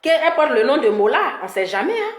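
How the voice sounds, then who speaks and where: A woman with a high voice and an Ivorian accent speaks as the host of a live stream. She gives opinionated commentary in an emphatic, scolding way, hammering points by repeating them. The sound is roomy and echoing. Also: a little fast. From Ivory Coast. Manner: animated scolding, emphatic repetition.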